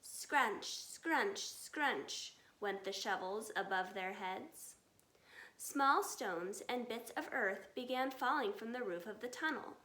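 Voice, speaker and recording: High-voiced woman reading a children's story. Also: lively intonation, slow, bright, forceful tone. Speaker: storybook reader. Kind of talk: expressive children's story narration. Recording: echoey, reverberant room.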